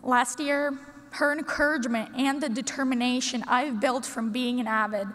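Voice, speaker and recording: A sixteen-year-old girl from Russia giving an earnest, prepared speech at a podium in a large conference hall, with deliberate dramatic pauses. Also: high voice, fairly even intonation, fairly bright tone. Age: teens. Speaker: student speaker. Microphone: podium microphone. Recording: long hall reverb.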